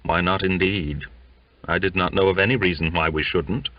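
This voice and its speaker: A man with a deep voice reads literary fiction as an audiobook narrator. His storytelling is measured and expressive. The sound is very dry, with a dull, lo-fi finish. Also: no echo.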